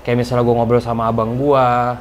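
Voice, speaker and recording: A man's voice: mid-range voice, completely flat delivery, fairly quick, faint music behind. A man with a Jakarta Indonesian accent talks as a motovlogger in a casual, colloquial review style, explaining things as he goes. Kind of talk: casual product review vlog.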